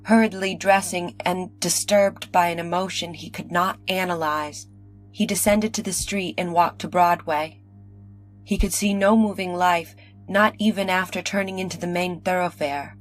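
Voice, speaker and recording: Low-pitched woman reading a story dramatically. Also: fairly even intonation, normal speed, rough recording. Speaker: story reader. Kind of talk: dramatic reading of early science fiction. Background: faint music.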